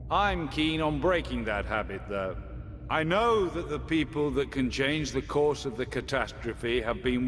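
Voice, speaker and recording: An actor playing a resolute, sardonic army commander in a video game, with formal, commanding delivery. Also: male voice, mid-range voice, natural voice. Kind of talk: video game cutscene acting. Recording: reverberant hall with background noise.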